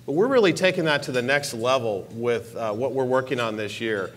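A man's voice: lively intonation, brisk, a bit bright. Upbeat man giving a conference keynote. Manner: upbeat, enthusiastic pitch with stressed repeated words. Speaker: keynote speaker, technology executive. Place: large conference hall stage. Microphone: lapel mic.

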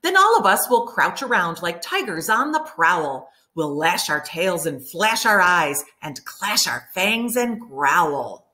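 A middle-aged woman reads a children's picture book aloud like a teacher, animated and clearly enunciated for young listeners. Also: lowish voice, slow, few pauses. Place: room at home by a window, indoors.

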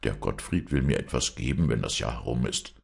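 A man's voice: extremely low pitch, brisk, gravelly, slightly rough, voice pushed down, full character voice. A man voicing a stingy, meek country landowner, a timid husband placating in a storybook reading. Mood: embarrassed.

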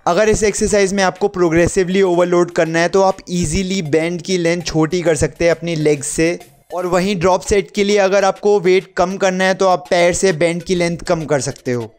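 Higher-pitched young man narrating workouts over music. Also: steady tone, moderate pace. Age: young adult. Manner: mixes Hindi with English gym terms. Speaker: home workout instructor.

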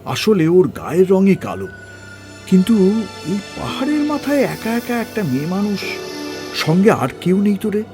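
Animated, high-pitched bossy man. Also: moderate pace, long pauses, soft background music. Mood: curious. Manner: animated, commanding, colloquial. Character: bossy, curious man in charge, exploring a ruin. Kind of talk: Bengali audio story acting.

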